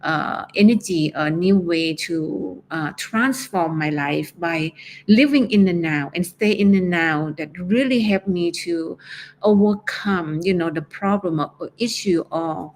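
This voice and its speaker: A woman from Thailand with a Thai accent, speaking as a life coach in a solo motivational talk, pausing often and searching for words. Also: on the low side, a little hiss or hum. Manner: hesitant, searching for words, many fillers.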